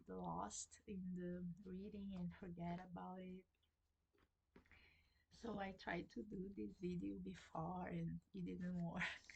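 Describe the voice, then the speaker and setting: Woman with a Portuguese accent, slow and hesitant, husky voice with a gravelly edge; casual book vlog. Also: on the low side, slight background noise, poor audio. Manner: hesitant, many fillers and restarts, soft laughs. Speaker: book vlogger. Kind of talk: casual chat to camera. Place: at home in sunlight.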